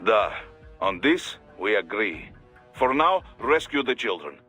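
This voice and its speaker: An actor playing a stern, pragmatic male mission adviser in a video game, measured and analytical in his delivery. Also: fairly low pitch, big pitch swings, sharp tone, husky, soft background music, full character voice. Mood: sober, pragmatic. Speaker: mission support. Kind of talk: English video game voice acting.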